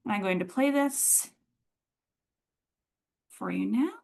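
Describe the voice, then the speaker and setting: A woman with a mid-range voice gives an explanatory lecture. Her delivery is measured and thoughtful, with academic phrasing that sounds partly prepared. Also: mid-range voice, vocal fry, darkish tone. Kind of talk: explanatory online lecture, semi-scripted.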